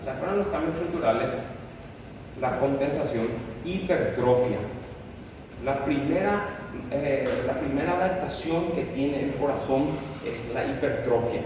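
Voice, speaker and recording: A man teaching a class, going over exam questions step by step and checking the students follow along. He sounds far from the microphone, in a very echoey room with a long tail on every word. Steady background noise sits under his voice. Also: mid-range voice, unhurried. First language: Spanish. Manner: explanatory, frequent tag questions. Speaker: lecturer. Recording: roomy classroom audio from a distant mic.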